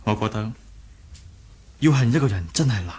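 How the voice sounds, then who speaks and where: A young martial hero, lowish husky voice, sincere n soft-hearted, radio play. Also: male voice, expressive, normal speed. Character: earnest, gentle young martial hero and rebel leader. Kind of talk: Cantonese 1980s radio drama acting.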